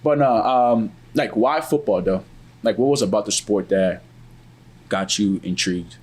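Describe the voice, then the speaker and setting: Host: young man, somewhat low voice, laid-back casual chat style. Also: a little slow, husky. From West Africa. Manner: relaxed, laid-back, loose phrasing. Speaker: podcast host.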